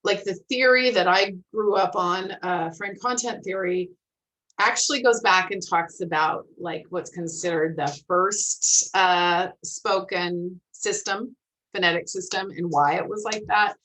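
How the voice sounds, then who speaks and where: Lady running a club meeting online, lowish voice, encouraging, explains stuff casually. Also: unhurried, a bit bright, rough recording. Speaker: host of a phonetics club meeting, academic. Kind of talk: casual group discussion.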